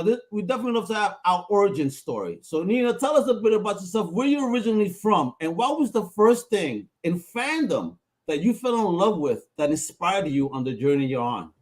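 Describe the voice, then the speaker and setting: A man's voice: high-pitched, animated, brisk, hardly any pauses. A man co-hosting an interview podcast, hyped and exclamatory with playful slang. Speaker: podcast co-host. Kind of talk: casual interview chat.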